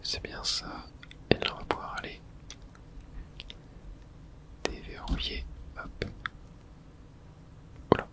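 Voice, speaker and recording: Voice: slow, long pauses. A whispering ASMR gamer of unclear sex. The delivery is unvoiced and close to the microphone, commenting on play step by step. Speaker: gaming ASMR streamer. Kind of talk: whispered ASMR gameplay commentary, reading game subtitles aloud.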